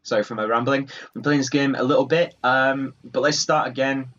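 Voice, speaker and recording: A man's voice: mid-range voice, fairly even intonation, fairly quick, fairly bright tone, muffled recording. A man giving casual let's play commentary in a rambling, offhand, dryly wry way. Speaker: gaming video host.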